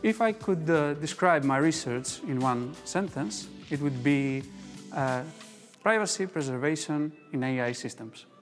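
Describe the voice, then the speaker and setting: A man from Greece, a PhD researcher, introducing his research with small pauses. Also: a little high, expressive, faint music behind. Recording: distant mic with long reverb.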